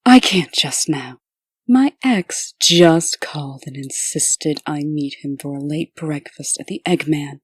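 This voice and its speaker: A woman's voice: low voice, takes it slowly, breathy edge, no echo, heavily acted. A woman playing a serious, determined official in a comic dub, speaking in a precise, earnest and insistent way. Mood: insistent, offended. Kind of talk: comic dub character acting.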